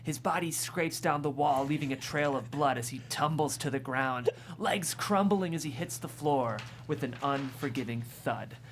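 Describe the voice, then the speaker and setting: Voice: mid-range voice, normal speed, hardly any pauses. Amused screenplay narrator, faint noise. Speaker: stage directions reader. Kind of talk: scripted screenplay narration at a table read.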